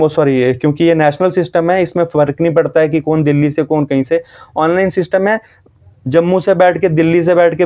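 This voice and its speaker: A man's voice: on the high side, dark tone, dull audio. A man from Jammu with a North Indian accent, earnestly explaining and warning viewers in an informal way, repeating himself for emphasis. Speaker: video host.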